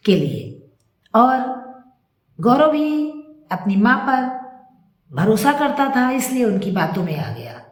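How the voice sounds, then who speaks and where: Animated woman storyteller in an echoey room. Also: on the high side. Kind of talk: dramatic story narration and moral commentary.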